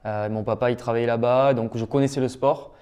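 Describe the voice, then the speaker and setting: A young man answers interview questions with enthusiasm, his voice smooth and warmly dark. He speaks in a fairly even tone and fills his pauses with a steady "euh". The delivery stays casual and talkative throughout. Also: medium pitch. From Toulouse, France. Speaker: professional padel player, guest.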